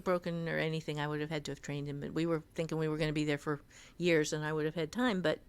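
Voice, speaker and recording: A woman's voice: sits low, flat delivery, quick pace, smooth. A woman podcast co-host giving casual, conversational advice and telling personal anecdotes. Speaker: podcast co-host on fiction writing.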